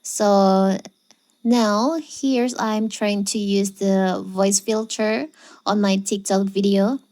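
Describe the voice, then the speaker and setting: A woman's voice: mid-range voice, steady tone, slow, a little mellow. A woman hosting a how-to video, narrating step by step in a scripted tutorial style. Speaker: tutorial channel host.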